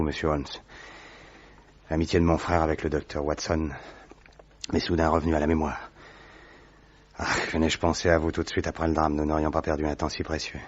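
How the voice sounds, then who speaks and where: A man's voice: very deep voice, no echo. An actor in his thirties playing a recovering young diplomat who speaks politely and earnestly in a vintage radio drama. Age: 30s. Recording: dry, dull old radio recording.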